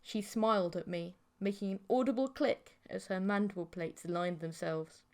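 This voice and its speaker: A woman's voice: mid-range voice, normal speed, a little mellow. Woman narrating an eerie story, theatrically. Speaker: audiobook narrator. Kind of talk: scripted dramatic story narration.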